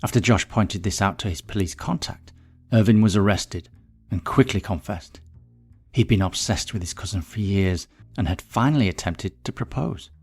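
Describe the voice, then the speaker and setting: A man with a fairly low-pitched voice narrates a scripted short story. He reads as the narrator of a whodunnit, delivering prepared prose. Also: moderate pace, a little mellow, breathy edge, faint music behind. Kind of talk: scripted narration of a murder mystery.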